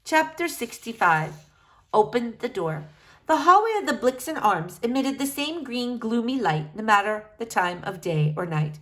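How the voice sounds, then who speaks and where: A woman reads a children's story aloud as narrator. Her voice is on the high side and moves expressively up and down through each sentence. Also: very animated, normal speed, a bit bright, rough recording.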